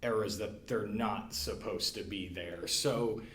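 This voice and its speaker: A man who is a podcast guest, talking casually and hesitantly, with many pauses and ums. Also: fairly low pitch, a bit bright. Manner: hesitant, lots of ums and hedging. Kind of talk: casual chat. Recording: echoey room.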